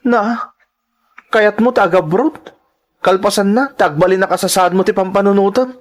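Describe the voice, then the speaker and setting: A man's voice: high voice, normal speed, natural voice. A man with an Ilocano accent playing a selfish, argumentative young husband in an audio drama. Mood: argumentative, scornful. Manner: argumentative, goading. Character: selfish, scornful young husband, irresponsible drinker.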